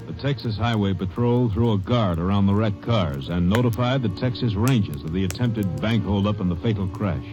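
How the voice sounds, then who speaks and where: A man narrating a 1940s radio drama in a formal, measured way. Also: on the low side. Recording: lo-fi vintage radio recording with music.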